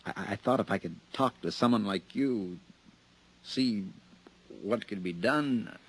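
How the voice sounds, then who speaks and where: A deep-voiced jittery embezzler. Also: male voice, husky, low-quality recording. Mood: weary. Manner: edgy, haunted and halting. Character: nervous hunted male embezzler on the run. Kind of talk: 1950s radio drama acting.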